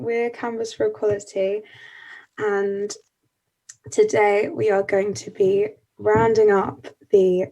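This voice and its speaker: An eighteen-year-old woman from Kent leads a panel discussion over a video call. She speaks in a friendly, chatty way with many ums. Her voice sits at a middle pitch. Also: dark tone, rough recording. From Kent, England. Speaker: panel moderator. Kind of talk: casual panel introduction.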